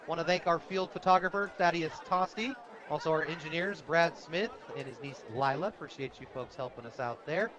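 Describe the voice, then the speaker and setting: A man with a fairly high voice works as a radio play-by-play announcer for high school football. His broadcast sounds a little dull, with a clearly audible stadium crowd behind him. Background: stadium crowd noise.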